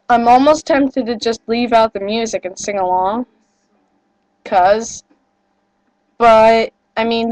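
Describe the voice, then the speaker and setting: A woman's voice: a little high, no echo, poor audio. Chatty teenage girl with a stuffy cold. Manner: chatty, rambling, self-deprecating, many fillers. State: getting over a cold, nasal. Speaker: vlogger. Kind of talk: rambling casual webcam chat. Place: small wood-paneled bedroom indoors. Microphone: built-in webcam microphone.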